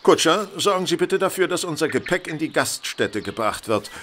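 A man playing a brisk, authoritative detective in an audio drama, speaking formally and deliberately. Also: a little high, expressive, fairly bright tone, a little hiss or hum. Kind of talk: German audio drama acting.

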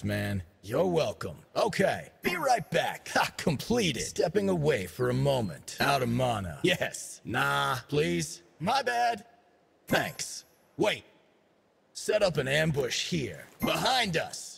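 An actor playing a cocky, laid-back young dancer and DJ in a video game, with swaggering party slang and a gravelly voice. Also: male voice, on the high side, fairly bright tone, full character voice. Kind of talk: video game character voice lines.

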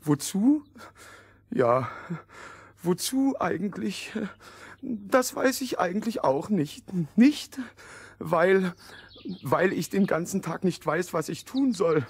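An actor playing an eccentric, bored former royal hunter, theatrical and repeating himself, in a fairy-tale audio play. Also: male voice, high voice, very animated, slow, darkish tone, husky, no echo, heavily acted. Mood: puzzled, aggrieved.

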